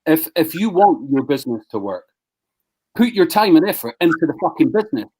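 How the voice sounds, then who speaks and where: A man's voice: fairly high pitch, very animated, a little fast, mellow, husky, rough recording. A man hosting a live stream, ranting bluntly and swearing at rival businesses. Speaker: live show host, business owner.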